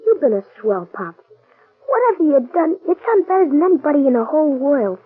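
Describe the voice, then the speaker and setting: An earnest little street kid who stands up for his dad. His voice is high and bounces all over the place. It sounds tinny and thin, like an old broadcast. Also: sing-song, brisk, no room sound. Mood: earnest, pleading. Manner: earnest, street slang, eager. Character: plucky young boy Joey, loyal to his father. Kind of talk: 1940s radio acting. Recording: tinny lo-fi old radio recording.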